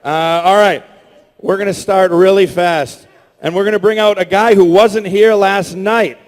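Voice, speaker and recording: High-voiced male host in an echoey hall. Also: fairly even intonation, unhurried. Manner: hyping the crowd, projecting loudly. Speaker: panel host and creator. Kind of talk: live convention stage announcing. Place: large convention hall stage. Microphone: stage microphone through PA. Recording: echoey convention hall PA, long reverb.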